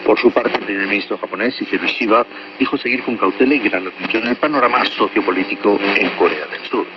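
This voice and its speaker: A man reading scripted radio news in a formal, measured way, heard over a shortwave broadcast. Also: fairly low pitch. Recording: muffled, very noisy distant shortwave radio.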